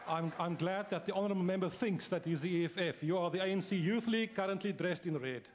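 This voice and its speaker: A man's voice: high-pitched, quick pace, a little hiss or hum. Combative South African man at a podium. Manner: combative, emphatic, repeats for force. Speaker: member of parliament. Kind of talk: political debate speech. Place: large parliamentary chamber. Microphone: podium microphone.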